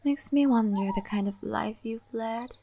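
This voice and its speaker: A woman playing an ancient, wise and cryptic spirit-realm lady in a soft, breathy ASMR roleplay. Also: on the high side, noisy background. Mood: calm, mysterious. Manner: soft, breathy, often whispering, unhurried.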